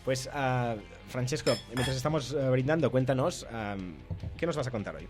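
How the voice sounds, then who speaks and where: Man, Catalan accent, casual talk show co-host, average pitch, faint music in the background. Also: normal speed.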